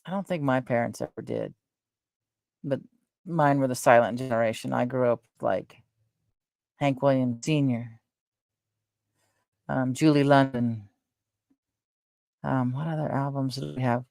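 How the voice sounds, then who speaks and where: A middle-aged woman talks in a soft, gentle voice, hosting a loose, meandering chat. She drifts from thought to thought, trailing off and correcting herself with plenty of fillers. The sound is dry and close, with no room around it. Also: very deep voice, a little slow, vocal fry, pauses often, dry recording. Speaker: livestream host. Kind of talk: rambling casual chat.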